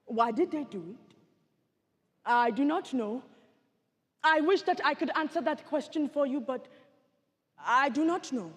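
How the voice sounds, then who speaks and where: A woman performing a dramatic monologue as an earnest Rwandan genocide survivor, telling childhood memories with deliberate theatrical pauses. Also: high-pitched, expressive, voice pushed up. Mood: sorrowful. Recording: echoey hall with long reverb.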